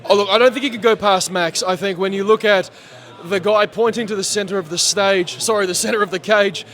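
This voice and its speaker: Male MC, high-pitched, hoarse, animated guest in a sports bar chat. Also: a little fast. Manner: animated, chatty, laughs often. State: losing his voice. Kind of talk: post-fight reaction interview.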